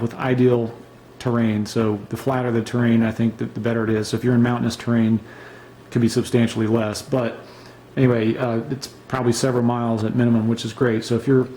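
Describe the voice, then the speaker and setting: A relaxed man talks through his survival gear off the cuff, full of ums and restarts. His voice is mellow and his pitch stays flat and even. A faint hiss sits under the recording. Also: rough recording. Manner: relaxed, unscripted, many fillers and restarts. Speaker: gear reviewer. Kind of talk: casual show-and-tell review.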